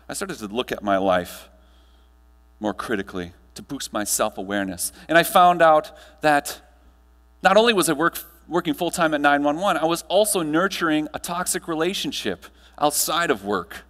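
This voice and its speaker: A man's voice: a little high, animated, moderate pace, a bit bright. American man giving a speech in an echoey hall. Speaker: motivational keynote speaker. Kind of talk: rehearsed personal-story keynote speech on stage. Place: large indoor hall, speaking on stage. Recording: echoey hall with mains hum.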